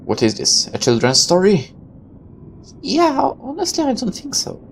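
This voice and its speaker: A woman's voice: medium pitch, wildly swooping pitch, unhurried, slight background noise. A woman playing a visual novel, reading the text aloud and dropping irreverent, sarcastic asides. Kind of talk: game playthrough, reading text aloud with offhand commentary.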